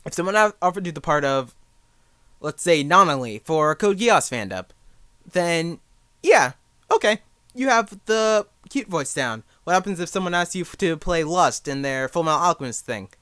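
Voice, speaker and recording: A man's voice: on the high side, expressive, moderate pace, fairly bright tone, clean tone, dry recording. Young guy rambling through a tutorial, jokey, voice goes up and down a lot, dry close sound. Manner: rambling, self-deprecating, jokey. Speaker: amateur voice actor giving beginner advice. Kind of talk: casual spoken tutorial.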